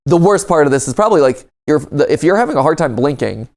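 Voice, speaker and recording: A man's voice: on the high side, lively intonation, quick pace, a little mellow. A man doing casual reaction commentary, reading on-screen text aloud and adding sarcastic, joking asides and exclamations. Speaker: reaction video host. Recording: music behind the voice.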